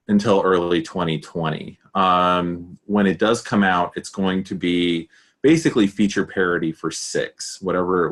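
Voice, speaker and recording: Deep-voiced man presenting with many ums. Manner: relaxed, many fillers and restarts. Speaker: community manager giving a demo. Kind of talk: casual presentation over video call. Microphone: video call webcam.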